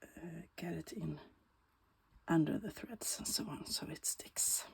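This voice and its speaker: A woman with a Swedish accent, Swedish native, talking through a bookbinding tutorial in a slow, thoughtful way that often drops to a soft whisper while she works. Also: sits low, gravelly, breathy edge. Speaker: bookbinding crafter. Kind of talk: casual craft tutorial.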